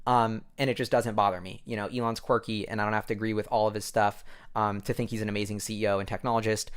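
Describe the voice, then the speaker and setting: A man's voice: fairly low pitch, level tone, quick pace, clear, resonant tone. An American man giving casual, off-the-cuff commentary as a show host, rambling informally with plenty of filler phrases. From United States. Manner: informal, rambling, heavy use of "like" and "you know".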